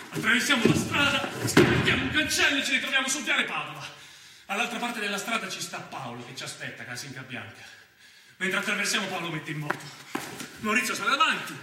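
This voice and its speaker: An actor playing a cocky, crude young Roman gunman in an intense, confessional stage monologue with a Roman accent. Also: high-pitched. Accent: Roman Italian. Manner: intense, crude street talk with sudden swells. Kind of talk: stage monologue, confessional first-person storytelling. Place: theatre stage, recorded from a distance. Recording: roomy distant stage recording, faint noise.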